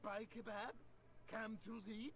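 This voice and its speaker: A man's voice: high voice, level tone, a little slow, a bit bright, slight background noise. An actor playing an effusive Middle Eastern street hawker in a video game, with flowery broken English and an eager salesman's manner. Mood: eager selling. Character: effusive Syrian taxi driver and kebab seller, flowery, eager. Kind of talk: cartoonish video game voice acting.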